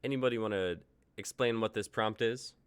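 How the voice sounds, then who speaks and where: A man's voice: fairly low pitch, a little fast, clean tone. A man in his twenties hosting a casual livestream chat, upbeat and jokey as he runs the show. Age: 20s. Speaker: host.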